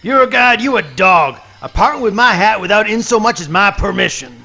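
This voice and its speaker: A man's voice: sits high, expressive, brisk, sharp tone, faint music behind, heavily acted. A man playing a hot-tempered, greedy alligator in a comic radio play, blustering in folksy, mangled grammar. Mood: indignant.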